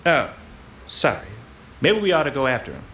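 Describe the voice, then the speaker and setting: A man's voice: mid-range voice, wildly swooping pitch, moderate pace, bright, forceful tone, slight background noise. A man playing a jittery noir sidekick. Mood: flustered. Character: jittery, bumbling detective sidekick. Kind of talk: 1940s-style radio play acting with inner monologue.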